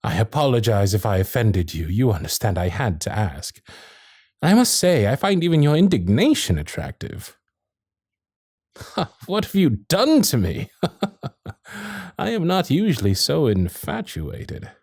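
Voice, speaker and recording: Guy acting as a posh victorian suitor, very expressive pitch, flirty and formal, close dry mic. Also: unhurried, pauses often, husky, dry recording, full character voice. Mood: taken aback, then smitten, amused. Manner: formal, genteel period diction, flirtatious. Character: courtly, infatuated Victorian gentleman suitor, protective and witty. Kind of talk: ASMR romantic roleplay, period piece acting. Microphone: close studio microphone.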